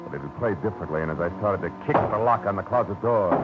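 A man's voice: low voice, lively intonation, fast talker, loud music behind the voice. An actor playing a hard-boiled private detective with wry, clipped tough-guy narration in a 1940s radio drama. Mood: narrating. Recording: noisy, dull old radio recording.

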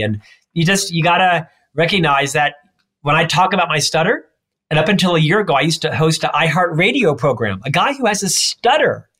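A man with a stutter speaks as a guest in an interview, his voice sitting a bit higher than most men's. He talks emphatically and rattles off his points in quick runs. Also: bright, forceful tone. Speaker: leadership expert guest. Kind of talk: interview answers.

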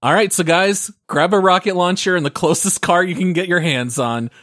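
A man's voice: high voice, moderate pace, a bit bright, dry recording. A man hosting a casual gaming podcast, upbeat and leading the discussion on a close mic. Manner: upbeat, leads the segment. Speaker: podcast host.

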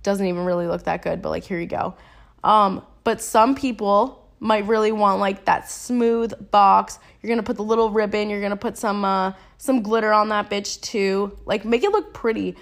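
A woman's voice: medium pitch, fairly even intonation, normal speed, creaky. A young woman hosting a casual solo podcast while sick and sniffling, chatty and rambling, with slang and laughs at herself. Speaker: podcast host.

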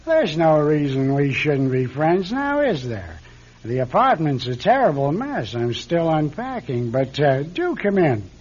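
Husky, irritable, secretive recluse. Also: male voice, on the high side, big pitch swings, unhurried, darkish tone, exaggerated voice. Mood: wary. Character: secretive, irritable male recluse, curt and sarcastic. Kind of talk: radio drama acting. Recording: dull, lo-fi radio drama recording.

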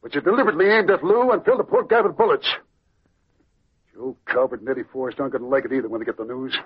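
An actor playing a grudging, resentful gangster with slangy speech in a 1940s radio drama. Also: male voice, mid-range voice, rapid-fire, breathy edge. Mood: accusing. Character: resentful male gangster, loyal to his pals. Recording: dull old radio recording.

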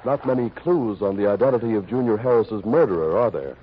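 Male radio announcer, low husky voice, scripted narration, dull vintage recording. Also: animated, fairly quick.

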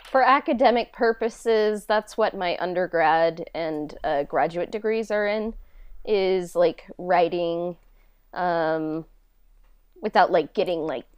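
A woman talks casually in an interview with a clear, smooth voice on the lower side. She is very unhurried, informal and a little self-deprecating, feeling her way through sentences. The sound is dry, with no room around it. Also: no room sound. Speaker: podcast host and audio drama writer being interviewed.

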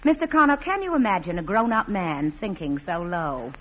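An actress playing a haughty, sharp-tongued young society bride-to-be in a vintage radio play. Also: female voice, lowish voice, lively intonation, normal speed. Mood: falsely gracious. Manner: imperious, brittle, clipped. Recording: muffled old radio recording.

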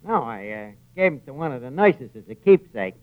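A man's voice: mid-range voice, big pitch swings, a little fast, close to an everyday voice. An actor playing a boastful, wisecracking working-class tavern man with a New York accent in old-time radio comedy. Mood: boastful joking. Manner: wry, wisecracking, slangy. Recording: tinny, narrow vintage radio recording.

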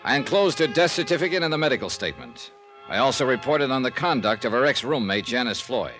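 A world-weary American investigator for vintage radio crime drama: terse, hardboiled, dry delivery, prominent music bed. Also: male voice, mid-range voice, quick pace. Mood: brisk, matter-of-fact. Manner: clipped, hardboiled, deadpan. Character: tough, world-weary male freelance insurance investigator. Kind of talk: 1950s radio detective acting and first-person narration.

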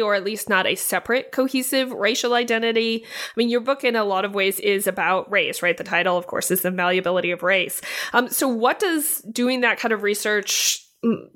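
A woman's voice: a little high, fairly bright tone. A woman hosting an academic interview, putting thoughtful, detailed questions to a guest. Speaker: interviewer, podcast host.

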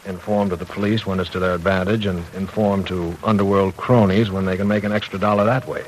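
Male actor as a knowing professor in an old radio serial; lowish voice, measured. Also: fairly even intonation, slight background noise, natural voice, poor audio. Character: Professor Stuart Rogers, a steady older friend. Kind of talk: 1940s radio drama acting.

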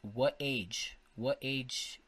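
A man's voice: mid-range voice, drawn-out words, a bit bright, slightly rough. A man hosting a podcast, interviewing casually and wordily, often circling back to rephrase his questions. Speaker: podcast host.

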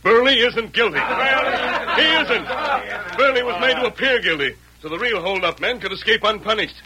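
An actor playing a stern, righteous masked hero of an old radio western, speaking with deliberate authority. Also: male voice, very high voice. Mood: stern, insistent. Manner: authoritative, deliberate, crisply commanding. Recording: noisy vintage radio drama recording.

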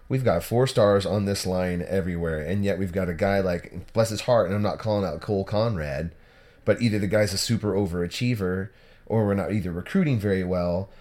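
A man's voice: sits low, a little mellow. A man co-hosting a football podcast, rambling and self-deprecating, with lots of "you know". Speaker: podcast co-host. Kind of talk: casual football chat.

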